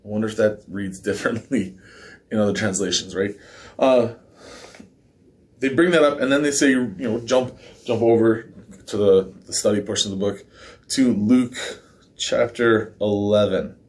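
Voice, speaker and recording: Slightly hoarse man leading a devotional. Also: on the low side, normal speed, long pauses. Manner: relaxed and conversational, with filler words and small laughs. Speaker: men's ministry devotional host. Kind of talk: casual devotional teaching. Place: living room by a fireplace, indoors. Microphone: fixed camera at mid distance.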